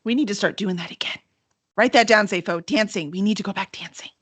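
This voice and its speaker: A woman interviewing in a casual, playful way, chatty, expressive and enthusiastic. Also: medium pitch, fast talker, slightly rough, no room sound. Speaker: podcast interviewer.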